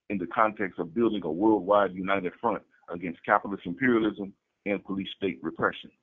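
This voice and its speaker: A tinny, narrow-band recording carries a low-voiced man with a rough, husky edge. He reads a political statement in a formal, declarative way, setting out each point as scripted doctrine. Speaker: political activist reading a party strategy statement. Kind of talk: scripted reading of a political manifesto.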